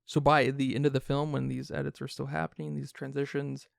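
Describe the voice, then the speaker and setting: A man's voice: medium pitch, level tone, a little fast, gravelly, no room sound, studio quality. A man hosting a film review podcast in a casual, conversational way. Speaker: podcast host.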